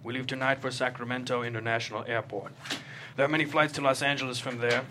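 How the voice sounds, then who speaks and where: A man's voice: mid-range voice, flat delivery, fast, lightly acted. Man acting solemn terrorist leader, noisy room. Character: solemn male terrorist leader briefing his men.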